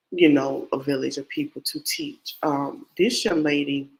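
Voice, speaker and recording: A woman's voice: deep voice, rough recording. A woman hosting a casual true-crime podcast, nasal and congested from a cold. She rambles, repeats herself and talks straight to her viewers. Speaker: podcast host.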